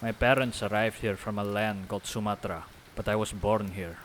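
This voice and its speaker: A man's voice: fairly low pitch, steady tone, moderate pace, fairly bright tone, slight background noise, close to an everyday voice. A man playing a proud, guarded islander father in an audio drama, speaking in terse, formal phrases.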